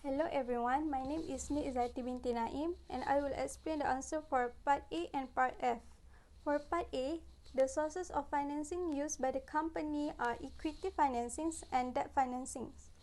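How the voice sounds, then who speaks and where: A woman's voice: sits high, steady tone, moderate pace, darkish tone. A woman in her twenties with a Malaysian accent giving a scripted student presentation in a plain room. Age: 20s.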